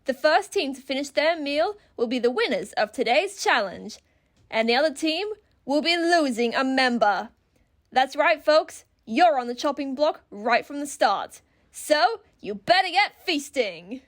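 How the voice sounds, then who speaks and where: Woman playing a high-pitched, peppy horror show host. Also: expressive, measured pace. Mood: brisk, gleeful. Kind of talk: animated reality show announcing.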